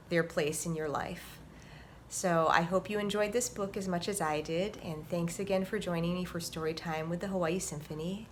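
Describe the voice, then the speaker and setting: A woman's voice: lowish voice, fairly bright tone, slight background noise. Woman presenting a children's story time. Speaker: host and story reader, orchestra violinist. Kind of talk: children's storybook reading and friendly explaining to children.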